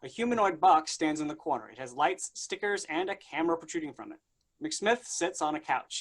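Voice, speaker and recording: A man's voice: on the high side, fairly bright tone. A man reading stage directions aloud as the narrator of a staged play reading.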